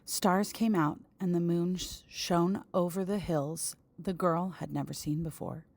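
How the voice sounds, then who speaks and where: A woman reading a picture book aloud, slow and deliberate. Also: lowish voice, fairly even intonation, darkish tone.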